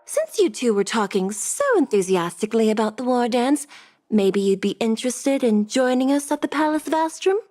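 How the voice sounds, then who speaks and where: A woman's voice: fairly high pitch, lively intonation, moderate pace, dark tone, soft background music. A woman playing a courteous, formal government secretary in a video game, speaking politely and deferentially. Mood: polite, inviting. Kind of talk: scripted video game dialogue.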